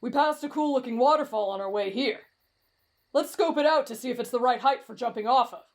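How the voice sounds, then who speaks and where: A woman's voice: high-pitched, fairly quick. A woman voicing a brash, reckless teenage boy in an anime-style roleplay drama, cocky, blunt and dismissive. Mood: eager, bold. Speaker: voice actor in roleplay audio.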